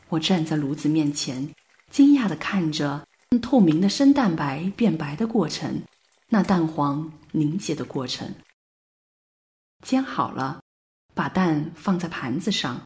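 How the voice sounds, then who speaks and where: A woman playing a bewildered Paris resident in a radio play monologue, telling her story deliberately with dramatic swells. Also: on the low side, darkish tone. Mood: determined, reflective. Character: woman living in Paris, bewildered, recounting a looping morning.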